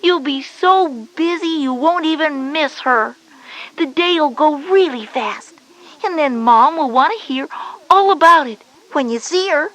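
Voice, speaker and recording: A woman's voice: sits high, lively intonation, a little slow, a bit bright, rough recording. A woman voicing a confident schoolgirl big sister, instructive and encouraging, in a children's educational audio drama. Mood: reassuring, encouraging.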